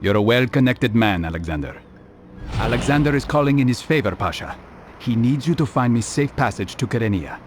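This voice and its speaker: An actor playing a calm, determined assassin in a video game, with a measured, dry, terse manner. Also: male voice, mid-range voice, soft background music, close to an everyday voice. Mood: businesslike. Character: calm, cool, determined young assassin hero. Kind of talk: video game cutscene acting.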